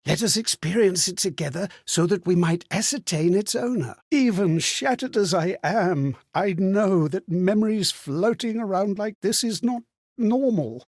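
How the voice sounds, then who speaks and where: An actor playing a frail, forgetful old man in a video game, anxious and kindly, with a hesitant, plaintive delivery and a husky, breathy voice. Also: sits high, lively intonation, unhurried, darkish tone, no room sound, studio quality, heavily acted. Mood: troubled, grave. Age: older. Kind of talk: theatrical video game character acting.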